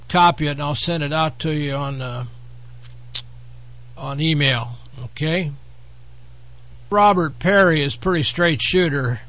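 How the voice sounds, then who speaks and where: A man hosting his own show, hoarse from a head cold, rambling through an offhand monologue with frequent fillers. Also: a little high, a bit bright, lo-fi sound. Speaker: host. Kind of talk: casual talk-show monologue.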